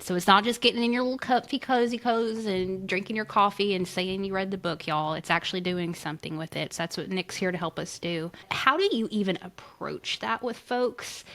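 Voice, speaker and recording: A woman's voice: medium pitch, fairly bright tone. A woman hosting a casual interview podcast, talking in a folksy, chummy way. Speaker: podcast host interviewing a guest.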